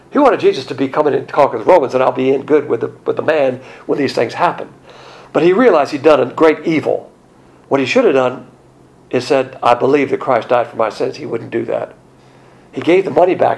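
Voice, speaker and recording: A middle-aged man preaching a sermon, animated and conversational, with colloquial asides and acted-out quotes. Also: medium pitch, gravelly. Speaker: pastor.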